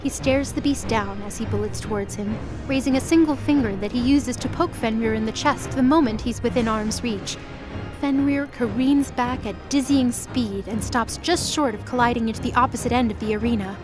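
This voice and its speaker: A woman's voice: a little high, normal speed, music in the background. A woman narrating an audio drama in a dramatic, scripted storytelling style.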